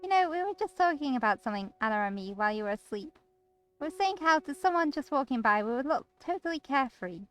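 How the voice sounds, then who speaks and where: A woman's voice: high-pitched, animated, fast. A woman voicing a caring, forthright young female friend in a visual novel, earnest and pleading. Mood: hopeful. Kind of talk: visual novel read aloud. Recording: music behind the voice.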